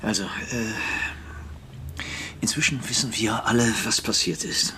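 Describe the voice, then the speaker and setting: An actor playing a measured, image-conscious politician with a deliberate, conciliatory and formal manner in a radio drama. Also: male voice, fairly low pitch, pushed and bright, a little hiss or hum. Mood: grave, measured.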